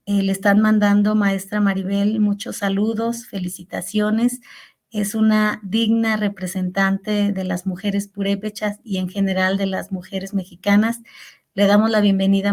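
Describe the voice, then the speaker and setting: A woman's voice: mid-range voice, a little mellow. A woman hosting a livestreamed talk, introducing a guest in a formal, welcoming way. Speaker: host.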